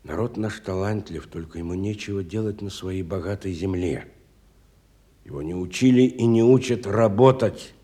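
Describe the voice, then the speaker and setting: An actor playing a wealthy, educated, bitter industrialist in a radio stage drama, theatrical and musing, moving between soft and emphatic speech. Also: male voice, deep voice, normal speed, close to an everyday voice. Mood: earnest, impassioned.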